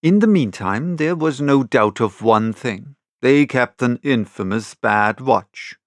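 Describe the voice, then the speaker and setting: A man reading literary first-person narration as an audiobook narrator, in a measured storytelling manner. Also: mid-range voice, animated, no echo, studio quality.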